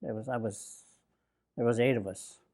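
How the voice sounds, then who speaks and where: Elderly man reminiscing slowly. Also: medium pitch, steady tone, a little mellow. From Bainbridge Island, Washington. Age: 90s. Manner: slow, reflective, halting with fillers and restarts. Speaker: interviewee, WWII-era Japanese American. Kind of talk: oral history interview. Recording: interview recording.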